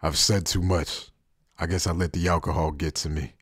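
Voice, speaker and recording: A man acting in an anime fan dub as a guarded, cynical former soldier turned traitor, with a low, husky voice and a wry, deliberate delivery. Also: level tone, no echo, clean studio sound. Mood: bitter, resigned.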